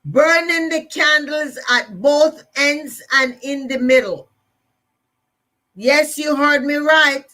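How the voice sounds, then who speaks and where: A woman's voice: sits high, slow pace, bright, forceful tone. A woman with a Jamaican accent giving an emphatic, repetitive motivational talk to listeners. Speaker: motivational speaker.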